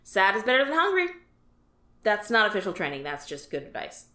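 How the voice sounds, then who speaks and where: A woman's voice: medium pitch, very animated, fairly quick, bright, forceful tone. A woman voicing a jaded female alien office worker who talks about dull work in a dry, resigned, matter-of-fact way. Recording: roomy echo.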